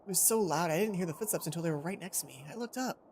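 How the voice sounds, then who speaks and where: A woman's voice: lowish voice, animated, very fast, darkish tone, a little hiss or hum. A woman doing a casual let's play, commenting playfully and reading visual novel text aloud. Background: game music behind the voice.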